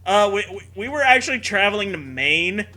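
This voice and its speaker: A man's voice: high voice, sing-song, fairly quick, pushed and bright. A man reading fan fiction aloud as a co-host, riffing in a profane, mock-dramatic way and prone to breaking into laughter. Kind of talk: comedic read-aloud with riffing.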